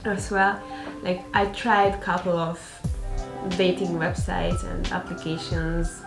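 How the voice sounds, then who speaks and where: Slow-talking Hungarian woman, giggly. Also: lowish voice, level tone, vocal fry. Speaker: co-host of a couple's channel. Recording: echoey room with music.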